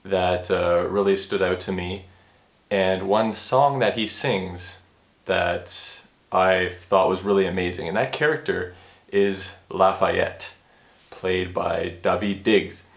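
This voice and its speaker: Low-voiced man in a roomy space. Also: fairly even intonation, creaky. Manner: chatty, self-deprecating, halting with restarts. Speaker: vlogger. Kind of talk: casual vlog talk to camera. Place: room at home. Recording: roomy echo with faint music.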